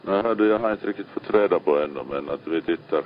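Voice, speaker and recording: A man with an extremely deep voice answers as a ship's radio operator. His maritime exchanges come through a dull, muffled radio recording.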